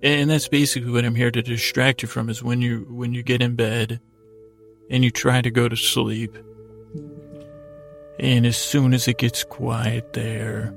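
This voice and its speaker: A middle-aged American man hosting a bedtime podcast, telling meandering stories in a gravelly voice, haltingly trailing off and wandering between thoughts. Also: medium pitch, level tone, soft background music. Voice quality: gravelly, creaky voice. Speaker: podcast host. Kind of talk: rambling, meandering bedtime storytelling monologue.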